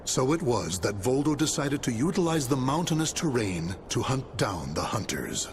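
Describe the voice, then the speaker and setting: A man's voice: mid-range voice, sing-song, moderate pace, background noise. A man delivering scripted, solemn, dramatic narration for a video game story.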